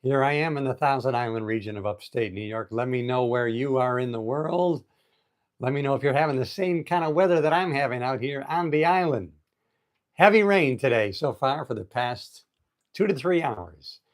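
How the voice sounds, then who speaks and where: A man's voice: mid-range voice, moderate pace. A man in his sixties hosting a casual motivational livestream outdoors on an island, upbeat and chatty as he greets viewers. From United States. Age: 60s. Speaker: livestream host. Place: outdoors on an island in heavy rain. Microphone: phone held in vertical mode.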